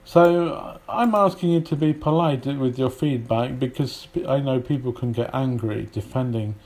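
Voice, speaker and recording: A man's voice: medium pitch, normal speed, darkish tone. A man from Britain whose British English accent carries some Polish influence, teaching an informal English lesson in a rambling, hesitant way with many fillers and restarts.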